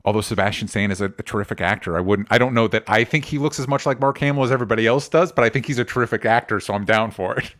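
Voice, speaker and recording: A man co-hosting a movie news podcast, talking informally and thinking out loud as he shares opinions. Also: lowish voice, very fast, few pauses. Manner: informal, rambling, conversational with swearing. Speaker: co-host of a film talk podcast.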